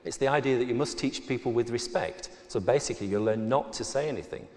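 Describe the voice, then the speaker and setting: A man's voice: medium pitch, fast talker. Man arguing in a reverberant hall. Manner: forceful, argumentative, emphatic. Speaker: debate panelist. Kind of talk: formal debate speech and argument. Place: large auditorium.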